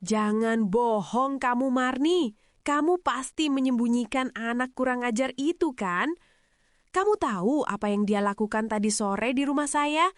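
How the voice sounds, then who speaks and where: A woman's voice: fairly high pitch, a little slow, no echo, clean studio sound, natural voice. Woman acting a scolding, indignant village matron. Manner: accusing, scolding. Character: stern, indignant village chief's wife.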